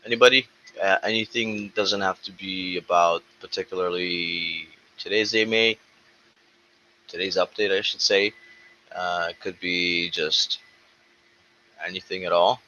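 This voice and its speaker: A man hosting an online question-and-answer call, moderating in a brisk, businesslike way. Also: low-pitched, fairly even intonation, pushed and bright. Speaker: host running a community Q&A call. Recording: dull lo-fi call audio with faint noise.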